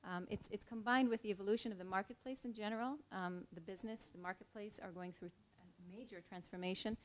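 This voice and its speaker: A woman's voice: medium pitch, steady tone, fast talker. A middle-aged woman from Israel, speaking earnestly on a panel as an HR leader and telling a personal story.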